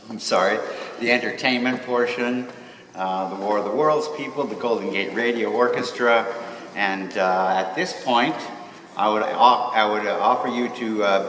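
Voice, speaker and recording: A man's voice: on the low side, a bit bright. A man opening an event with a live welcome speech to an audience, as its president and host. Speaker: society president, event opener. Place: auditorium, speaking to a gathering. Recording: echoey hall from far off, faint noise.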